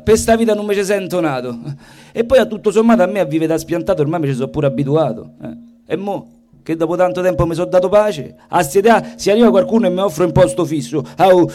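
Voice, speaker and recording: A man performs a theatrical comic monologue in a thick Roman accent. His voice sits quite high, and he tells things in a colloquial, rhythmic way while guitar music plays clearly behind him. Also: quick pace, dark tone. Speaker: stage performer, singer. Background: plucked guitar music.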